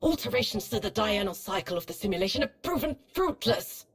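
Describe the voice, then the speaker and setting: A woman's voice: medium pitch, very animated, fairly quick, husky. A woman playing a cold, ruthless female Sith research hologram in a video game, speaking in a formal, detached, clinical manner. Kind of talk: scripted video game acting.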